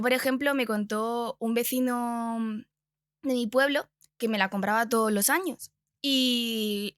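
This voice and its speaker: Bright, high-voiced young woman from Spain. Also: dry recording, clean studio sound. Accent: Spanish (Spain). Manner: informal, colloquial. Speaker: podcast guest. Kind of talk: casual chat, personal anecdotes.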